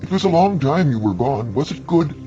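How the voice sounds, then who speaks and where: A gentle, anxious she-creature from an alien world, read by a male storyteller, asks caring questions. The pitch is lifted, with music underneath. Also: lively intonation, fairly quick, muffled recording, lightly acted. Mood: curious welcome. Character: female alien horse-spider creature, caring, anxious mate. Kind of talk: dramatised storytelling.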